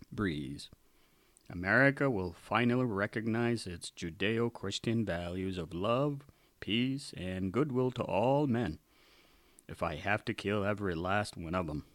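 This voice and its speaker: A man's voice: fairly low pitch, no room sound, studio quality. A man playing a solemn, menacing holy-war crusader in a satirical radio drama, grandiose and prayerful.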